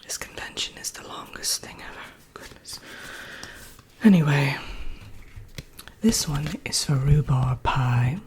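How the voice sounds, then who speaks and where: A woman playing a genteel, drily wicked Evil Queen in a soft, breathy whispered ASMR roleplay, presenting like a workshop teacher. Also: low-pitched, measured pace, pauses often. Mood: drily wicked, instructive. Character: haughty, wicked fairy-tale Evil Queen teaching recipes.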